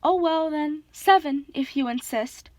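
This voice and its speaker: A woman plays a jittery male creature pretending to be a coy bride. The falsetto sits very high, simpering and hesitant, eager to placate, as a storybook character. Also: measured pace, a little mellow, no room sound. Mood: anxious haggling.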